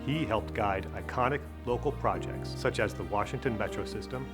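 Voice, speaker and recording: A man reading scripted documentary narration in a measured, formal way. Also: lowish voice. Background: guitar music.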